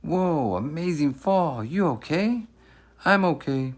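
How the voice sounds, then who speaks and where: A playful young boy from a storybook , acted out by a man. The voice is pitched up and bouncy, exclaiming with childlike excitement and big swings in tone. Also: slow. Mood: excited. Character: playful, excitable young boys in a picture book.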